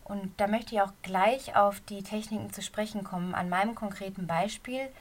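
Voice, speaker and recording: A middle-aged woman with a slight cold and a somewhat hoarse voice, a running coach giving a casual, earnest podcast talk from a quiet corner of her bedroom. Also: medium pitch, fairly even intonation, moderate pace. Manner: earnest, encouraging, talks straight to the listener.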